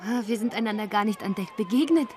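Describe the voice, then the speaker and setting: A woman's voice: medium pitch, quick pace, close to an everyday voice. An actress in a German audio drama playing a polite, self-possessed lady traveller. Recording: loud street noise behind.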